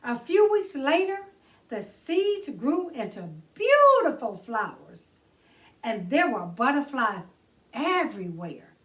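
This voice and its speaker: Female children's storytime reader: high-pitched, very expressive, stretching key words, recorded a little away from the mic. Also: recorded from a distance. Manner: expressive, deliberate, drawn-out emphasis on key words. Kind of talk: read-aloud children's story narration.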